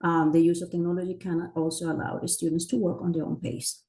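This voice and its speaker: Low, mellow woman. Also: little pitch movement, fast. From Chile. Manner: many fillers and self-corrections. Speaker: educator presenting a teacher training. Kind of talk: conference presentation.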